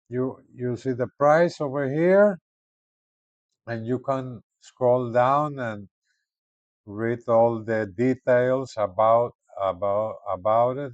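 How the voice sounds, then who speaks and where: A man giving casual unboxing and product commentary in an informal, halting way, often repeating words. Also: medium pitch, darkish tone, no room sound, muffled recording. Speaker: product reviewer.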